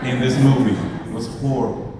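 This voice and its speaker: A man's voice: medium pitch, steady tone, normal speed. A man co-hosting a casual convention panel in an informal, joking way. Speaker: panel co-host. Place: large convention panel room with audience. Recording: echoey hall, distant mic, crowd noise.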